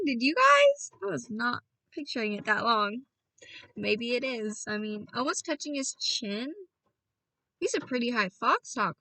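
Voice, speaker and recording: A woman's voice: on the high side, sharp tone, no echo, recorded from a distance, low-quality recording. A woman reading a novel aloud as a casual audiobook, chatty and playful, often stopping to talk to her listeners. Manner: chatty, self-interrupting, playful with voices. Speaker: audiobook reader.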